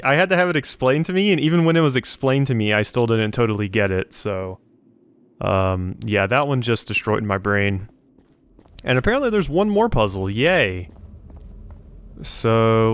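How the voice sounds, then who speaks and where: A man's voice: mid-range voice, normal speed, clear, resonant tone. A man doing casual gameplay commentary, muttering to himself as he thinks aloud in a self-deprecating way. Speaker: let's play host.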